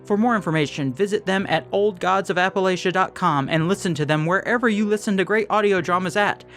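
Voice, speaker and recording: A male announcer delivers scripted show credits and promos. His voice sits slightly high, with guitar music playing softly behind him. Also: fast talker. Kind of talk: scripted narration. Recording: music under the voice.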